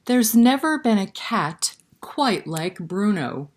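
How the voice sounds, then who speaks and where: A woman's voice: mid-range voice, sing-song, slow. A woman hosting a library story time, reading a picture book expressively and chatting to young listeners with questions. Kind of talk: picture book read-aloud with asides to children.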